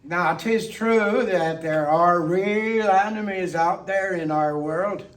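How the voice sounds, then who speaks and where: Male monologue performer, high voice, playful tipsy toastmaster delivery with deliberate pauses, picked up at a distance. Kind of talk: theatrical toast-making monologue. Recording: distant microphone.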